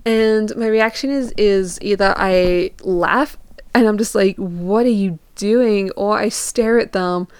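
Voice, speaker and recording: Young woman, smooth dry-sounding voice. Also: medium pitch, slow pace, darkish tone, no room sound. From Portugal and England. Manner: informal, peppered with "like", acts out quoted questions. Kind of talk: casual chatty storytelling.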